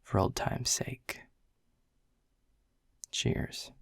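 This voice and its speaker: A man's voice: extremely slow, no room sound, whispered. An actor playing a world-weary, sarcastic male middle manager who grumbles and teases dryly. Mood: gruff teasing. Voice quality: low gravelly husky voice. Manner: sarcastic, grumbling, dry teasing. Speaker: boss giving a farewell speech. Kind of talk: audio roleplay acting. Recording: dry close mic.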